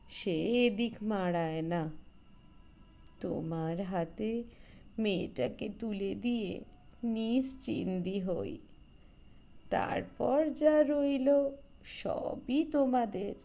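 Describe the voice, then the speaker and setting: A woman voicing a tearful, doting old village grandmother in a storybook, pleading slowly and emotionally. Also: mid-range voice, vocal fry, mellow, highs cut off.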